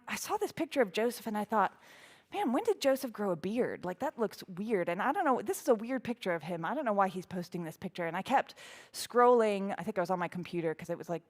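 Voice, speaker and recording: Chatty woman priest telling family stories. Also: fairly high pitch. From United States (Houston area). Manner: chatty, animated, lots of fillers and asides. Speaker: priest giving a talk. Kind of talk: storytelling talk with audience quiz.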